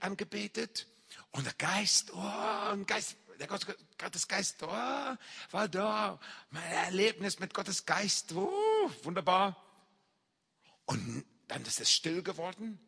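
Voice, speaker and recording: Lively, slow-spoken man preaching in an echoing hall. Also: sits high, vocal fry, breathy edge. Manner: animated, acts out shouts and reactions; speaks German with non-native grammar. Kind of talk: sermon testimony, storytelling.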